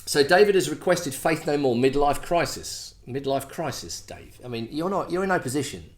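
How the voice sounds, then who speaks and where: A man's voice: on the high side, animated, normal speed. A middle-aged man from England hosting a casual request show, rambling and self-deprecating, full of fillers and restarts. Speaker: host. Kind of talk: casual chat.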